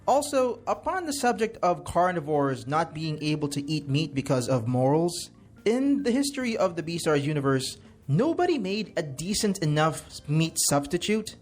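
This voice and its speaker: A man's voice: on the high side, sing-song, normal speed, soft background music. A man speaking as a guest commentator, giving his opinions with emphatic stress on key words.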